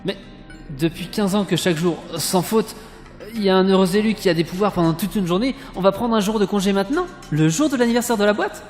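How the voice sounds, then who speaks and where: A man playing a hapless, cheeky low-level lab technician in an audio drama, casual and hesitant. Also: fairly quick, a little mellow, lightly acted. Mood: casual, puzzled. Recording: long hall reverb, music underneath.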